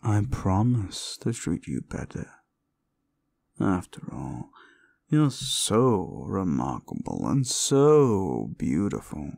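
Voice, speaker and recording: Guy doing a low gravelly demon, super condescending and mocking, drags his words out, audio roleplay. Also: huge pitch swings, a little mellow, heavily acted. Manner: condescending, mocking, drawn-out theatrical delivery. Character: condescending, cruel male demon summoned as a date. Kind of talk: scripted audio roleplay addressed to the listener.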